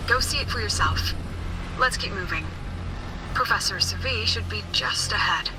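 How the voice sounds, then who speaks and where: A woman voicing a calm, encouraging academy leader in a video game, measured and reassuring as she guides. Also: mid-range voice, music in the background, close to an everyday voice, low-quality recording. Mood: reassuring, thoughtful.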